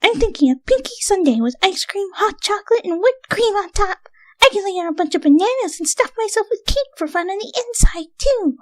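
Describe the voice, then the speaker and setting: A woman voicing a hyper, cheerful young female cartoon pony with a bubbly, excitable manner. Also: extremely high pitch, fairly quick, no room sound, pitched above the performer's own voice. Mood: giddy.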